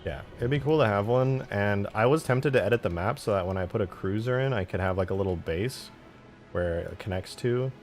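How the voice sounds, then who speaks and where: Man, lowish voice, casual live streamer, muttering fragments while working, music underneath. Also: a little fast. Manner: thinks aloud in short fragments, muttering to himself while working. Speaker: live streamer and game modder.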